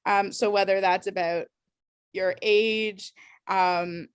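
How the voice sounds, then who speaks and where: A woman's voice: mid-range voice, fairly even intonation, takes it slowly, clean tone, dry recording, clean studio sound. A woman facilitating a discussion workshop, explaining ideas thoughtfully with frequent ums and restarts.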